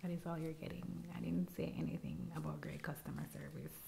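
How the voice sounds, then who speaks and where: A woman in her thirties with a Jamaican accent, voicing a rude, impatient barber in a soft-spoken ASMR roleplay, recorded close to a binaural mic in a small room. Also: sits low, fairly even intonation, fairly quick, creaky, slight background noise. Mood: curt. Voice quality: soft-spoken, often whispering. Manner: curt and scolding. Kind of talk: ASMR personal attention roleplay. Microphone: close binaural mic, leaning toward the camera.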